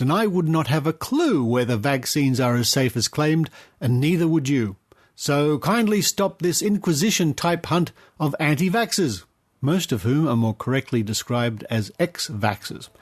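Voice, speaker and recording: An Australian man hosting a podcast, reading news and commentary in measured, deliberate phrases. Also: fairly high pitch. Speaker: podcast host. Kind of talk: scripted news commentary, reading reports aloud.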